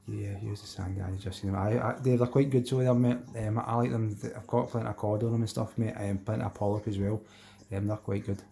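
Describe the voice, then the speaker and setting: A man's voice: on the low side, steady tone, fairly quick, gravelly, dark tone, a little hiss or hum. A man with a Glaswegian accent chatting casually on a fishing live stream, replying to viewers in a matey, rambling way with colloquial Scots wording. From Glasgow, Scotland. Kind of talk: casual live chat, reading and answering viewer messages.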